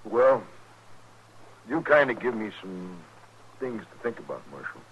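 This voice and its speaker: An actor playing a sheepish, folksy cattle rustler who talks haltingly in a 1950s radio western. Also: male voice, on the low side, very animated, fairly bright tone. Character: sheepish male cattle rustler, grudgingly grateful. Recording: dull, noisy 1950s radio recording.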